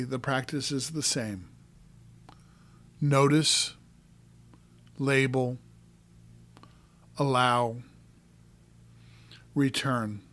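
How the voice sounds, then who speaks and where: A man narrating a guided meditation as a coach, giving calm, gentle instructions in short, measured phrases. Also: medium pitch, no room sound.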